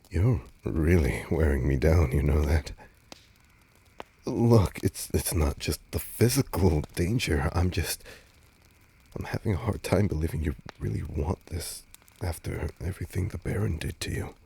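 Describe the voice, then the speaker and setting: Casting: male actor for a nervous, soft-spoken vampire lover in audio roleplay; very low register, slow, halting delivery. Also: vocal fry, slight background noise, exaggerated voice. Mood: pleading, conflicted. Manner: hesitant, stammering, soft-spoken. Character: nervous, tender male vampire on a stargazing date, resisting hunger. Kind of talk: intimate audio roleplay addressed to the listener.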